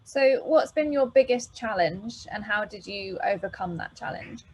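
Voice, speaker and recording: Husky-voiced woman interviewer. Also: mid-range voice, animated, moderate pace, a little mellow, rough recording. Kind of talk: casual interview questions.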